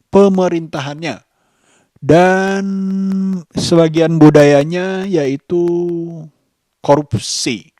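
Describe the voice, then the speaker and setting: A man, giving a casual vlog monologue in halting, deliberate short phrases. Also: on the high side, darkish tone, no echo, studio quality. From Indonesia. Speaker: vlogger.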